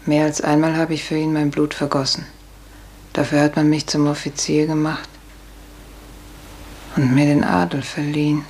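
An actress playing a weary, hard-drinking older serf aunt in a radio play, resigned and sardonic in a measured delivery. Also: female voice, deep voice, level tone, darkish tone, close to an everyday voice. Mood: reading aloud. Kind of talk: 1950s German radio play acting. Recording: echoey, hissy 1950s radio recording.

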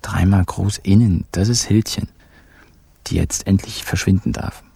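An actor narrating a radio crime comedy as a wry, cheeky kidnapper, in a dry, colloquial way. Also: male voice, low voice, unhurried, gravelly, dark tone, husky, no room sound, exaggerated voice. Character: wry, cheeky male kidnapper telling his own caper. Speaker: first-person narrator. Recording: music behind the voice.

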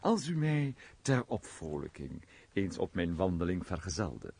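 An actor playing a genial, flirtatious count in a period radio play, hearty, gallant and teasing in formal speech. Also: male voice, low-pitched, very animated, a little slow, creaky, a little mellow, husky, heavily acted.

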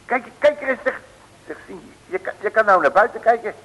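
An actor playing a bumbling, folksy, excitable male detective who blusters and stammers in a vintage comic radio serial. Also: high-pitched, brisk. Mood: excited. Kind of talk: 1960s Dutch comic radio serial acting. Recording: old radio recording, long reverb.

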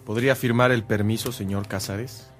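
A man's voice: lowish voice, fairly even intonation, normal speed, natural voice. An actor playing a wary, insistent churchman in a radio drama. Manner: cautious, insistent. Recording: music under the voice.

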